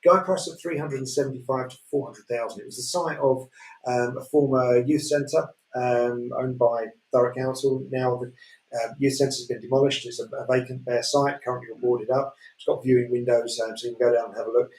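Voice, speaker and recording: A man with a Yorkshire accent presenting auction lots in a relaxed way, full of fillers. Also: mid-range voice, a little fast. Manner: relaxed, many fillers, chuckles. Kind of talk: casual chat describing property lots. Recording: echoey room, distant mic.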